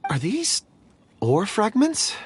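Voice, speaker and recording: An actor voicing an earnest, polite young man in a video game, with a gentle, courteous manner. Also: high voice, wildly swooping pitch, takes it slowly, a little hiss or hum, full character voice. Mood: curious, pensive. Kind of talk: video game character acting. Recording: faint music behind the voice.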